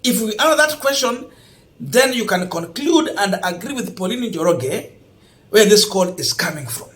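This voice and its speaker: A Kenyan man hosting online political commentary, speaking emphatically and deliberately and repeating points for stress. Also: high voice, animated, fairly bright tone. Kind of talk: political news commentary to camera.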